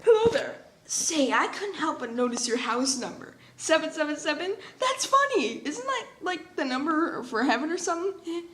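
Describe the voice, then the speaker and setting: Man doing high, perky, nervous survey girl. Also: very animated, normal speed, few pauses, sharp tone, roomy sound, a little hiss or hum, heavily acted. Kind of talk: comic dub acting.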